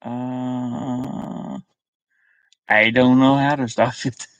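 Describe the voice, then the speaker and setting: A man's voice: medium pitch, flat delivery, very slow, no echo, lo-fi sound. A middle-aged man chatting casually on a livestream, rambling and muttering to himself in short, broken phrases. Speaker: livestream host. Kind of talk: casual live chat with viewers. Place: inside a van. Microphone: webcam.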